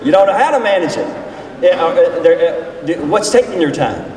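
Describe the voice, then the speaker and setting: A man's voice: a little high, expressive, moderate pace, a little mellow. A man in his forties preaching informally as a pastor, folksy and conversational as he engages the people in front of him. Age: 40s. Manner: folksy, conversational, questions the audience. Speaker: pastor leading a seminar session. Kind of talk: informal sermon with audience interaction. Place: large reverberant room, speaking to a group. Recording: distant echoey hall with noise.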